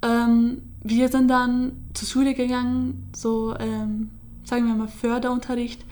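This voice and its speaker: Young woman, interviewee, halting and even-toned. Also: on the high side. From Syria. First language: Kurdish. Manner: hesitant, many fillers and restarts. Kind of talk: casual personal account.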